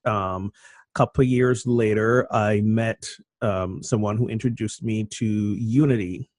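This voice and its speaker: A middle-aged man from Barbados, telling his story as a guest minister in a relaxed, self-deprecating way. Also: fairly low pitch, takes it slowly, no echo. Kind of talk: casual conversational storytelling.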